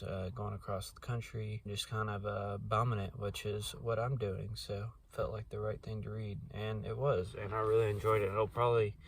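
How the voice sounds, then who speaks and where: Young male book reviewer, low flat voice, rambly and casual, recorded from a distance with faint noise. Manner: rambling, many fillers and restarts.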